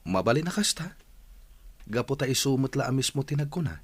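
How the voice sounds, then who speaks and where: A man's voice: mid-range voice, big pitch swings, fairly quick, slightly rough, no room sound. A man acting a concerned, earnest friend in an Ilocano audio drama, speaking seriously.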